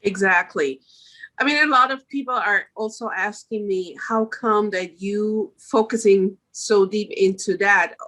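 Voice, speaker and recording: A woman's voice: mid-range voice, unhurried, bright, forceful tone, rough recording. Woman being interviewed. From Switzerland. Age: middle-aged. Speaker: podcast guest, makeup artist. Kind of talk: conversational interview answers.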